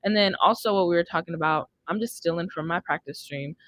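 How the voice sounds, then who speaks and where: A woman's voice: fairly low pitch, quick pace, a little hiss or hum, low-quality recording. A young adult woman hosting a podcast, chatting casually into a close studio microphone. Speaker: podcast host. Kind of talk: casual chat. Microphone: close studio mic on boom arm.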